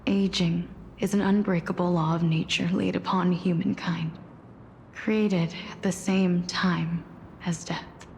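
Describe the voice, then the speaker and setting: A slow, solemn goddess of death. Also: female voice, fairly low pitch, steady tone, slight background noise. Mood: mournful, reflective. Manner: slow, soft, solemn storytelling, often breathy. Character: female demigod of death, wistful, solemn twin sister. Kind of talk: scripted game character voice acting.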